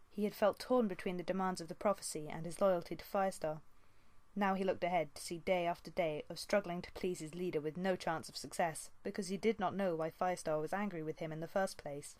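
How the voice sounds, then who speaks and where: A woman's voice: on the low side, darkish tone. A woman narrating an animal fantasy novel as an amateur audiobook reader. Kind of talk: scripted storybook narration.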